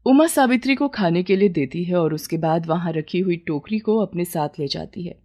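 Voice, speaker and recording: A woman's voice: fairly low pitch, lively intonation, normal speed, a little mellow. A woman narrator reading a scripted moral story.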